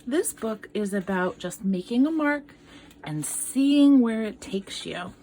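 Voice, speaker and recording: A woman art teacher reading a picture book aloud to children, expressively, pausing for asides and questions to young listeners. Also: on the high side, lively intonation, dark tone, a little hiss or hum, low-quality recording.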